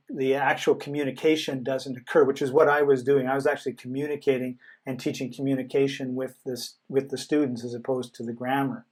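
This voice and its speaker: A man, a guest in a casual conversation, telling a personal story in an animated way. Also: medium pitch.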